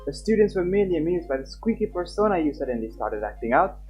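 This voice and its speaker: A streamer reading the lines of a sly young student who feigns innocence in a mock-polite tone. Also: male voice, a little high, big pitch swings, quick pace, darkish tone, soft background music, voice pushed up. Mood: innocent.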